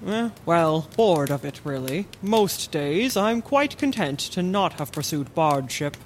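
Woman as polite, learned middle-aged fantasy scholar, measured and articulate, slight background noise. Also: sits low, lively intonation. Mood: wry, reflective. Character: courteous, bookish middle-aged scholar reminiscing with an old friend. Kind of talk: fantasy audio drama acting.

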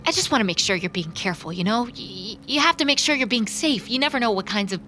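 An actress in an audio drama playing an anxious, impulsive young woman, agitated and halting, as if muttering to herself. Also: a little high, lively intonation, pushed and bright, soft background music. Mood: nervous concern. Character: anxious, impulsive young woman determined to stop a former friend. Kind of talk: audio drama acting with inner monologue.

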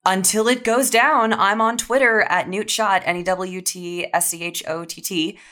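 A woman's voice: medium pitch, steady tone, normal speed, bright, forceful tone. A woman in casual conversation as a guest, giving contact links. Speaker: guest, audio fiction writer. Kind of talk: casual chat, plugging links.

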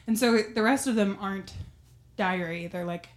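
A young woman co-hosting a podcast in casual chat, a little hoarse and wheezy, talking with plenty of ums and likes. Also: mid-range voice, moderate pace. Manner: chatty, lots of "um" and "like". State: losing her voice, wheezy. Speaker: podcast co-host.

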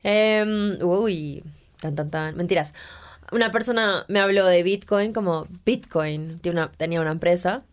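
A woman's voice: fairly low pitch, measured pace. A woman guest in a podcast studio, chatting playfully, laughing often and slipping in English words. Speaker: guest artist. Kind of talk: casual interview chat.

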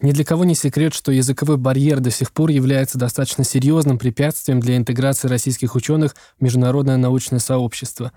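A man's voice: medium pitch, flat delivery, fast, hardly any pauses, warm tone, no echo, studio quality. A man asking formal, prepared interview questions as host.

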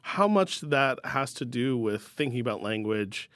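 A man's voice: mid-range voice, lively intonation, unhurried, a bit bright, dry recording, clean studio sound. A man hosting a conversation with a poet, asking engaged and curious questions. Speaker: host. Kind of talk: conversational interview.

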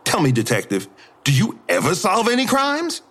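Defiant touchy artist man, highish voice, really up and down, curt and combative, audio drama. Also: wildly swooping pitch, moderate pace, a bit bright, full character voice. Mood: defensive. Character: defiant, irritable male mural artist.

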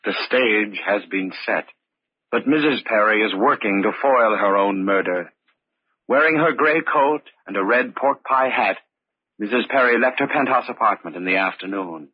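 A sinister narrator of a radio murder thriller. His low voice is grave, measured and deliberate. The recording is old and muffled. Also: slightly rough. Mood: grave, ominous.

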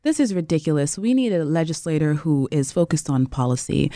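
A woman's voice: low voice, very animated, fairly quick, warm tone, no echo, clean studio sound. A woman from Jamaica, a congressional candidate, speaking firmly as a radio show guest. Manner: firm, campaign-speech delivery. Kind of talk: political interview by phone or studio line.

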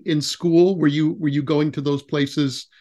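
A man hosting a podcast interview, talking in a friendly, chatty way with quick bursts and fillers. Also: a little high, fairly even intonation, warm tone. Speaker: podcast host.

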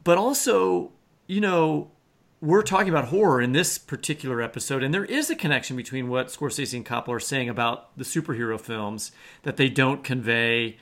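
A man's voice: mid-range voice, expressive, fairly quick, fairly bright tone. A man co-hosting a podcast, giving introductions and chatting with guests. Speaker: podcast co-host. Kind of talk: scripted introductions and interview chat.

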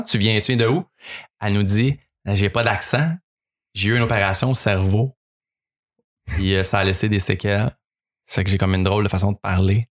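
A young man with a Québécois accent, a radio writer chatting as a podcast guest, rambling with many fillers and restarts. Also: fairly low pitch. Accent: Québécois French. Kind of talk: casual podcast chat.

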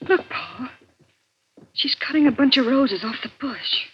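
An actress playing an anxious young wife and niece in a 1940s radio suspense drama, with a dramatic, wary delivery. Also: female voice, sits high, expressive, unhurried. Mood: tense. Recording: dull vintage 1940s radio with organ music.

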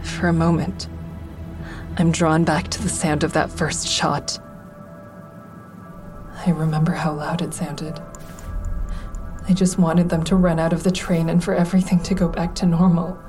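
Woman acting as wary female survivor, low pitch, measured first-person telling, fiction podcast, soft music bed. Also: little pitch movement, lightly acted. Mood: haunted. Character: Haven, traumatized woman survivor narrating, fearful, guarded, hardening. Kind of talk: first-person narration and dialogue in audio drama.

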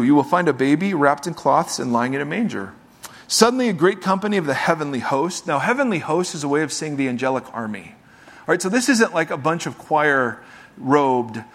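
A man preaching to a congregation, his voice sitting a little high for a man. He reads scripture aloud and breaks off into loose, joking asides to the people in front of him. The delivery is relaxed and conversational. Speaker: pastor. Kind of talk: sermon. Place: church with live congregation.

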